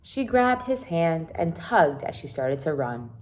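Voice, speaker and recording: A woman with a slightly low voice reads to children as their teacher. Her pitch moves in a very lively way, and she leans on words for dramatic emphasis. She explains things in simple terms, speaking directly to young listeners. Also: measured pace. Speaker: elementary teacher. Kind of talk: children's read-aloud lesson with explanations.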